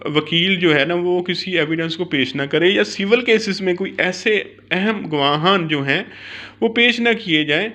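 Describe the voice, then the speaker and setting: A man with a Pakistani accent giving an explanatory law lecture, mixing in English terms, in a halting, repetitive way with filler phrases. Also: high voice, sharp tone.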